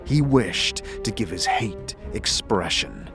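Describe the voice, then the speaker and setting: A man narrating a scripted fantasy tale in a deliberate, theatrical storytelling manner. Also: fairly low pitch, expressive, gravelly. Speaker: narrator and game master. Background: music.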